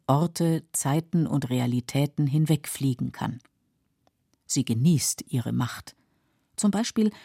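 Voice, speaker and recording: A woman narrating a scripted literary radio feature in a measured, explanatory manner. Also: sits low, dark tone, husky, no room sound, studio quality. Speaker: radio presenter explaining a novel.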